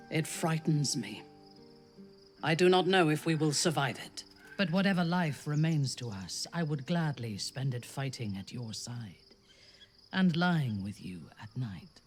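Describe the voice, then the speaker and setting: A fierce, devoted drow warrior for a fantasy game. Her delivery is formal and imperious, every phrase placed with care. Faint music plays underneath. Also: low-pitched. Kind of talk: fantasy video game acting.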